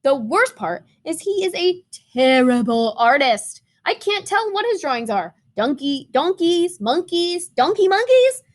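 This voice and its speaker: A woman's voice: very high-pitched, very animated, measured pace, few pauses, voice pushed up, full character voice. A woman voicing a desperate, fed-up crayon owned by a toddler, complaining in an exasperated way.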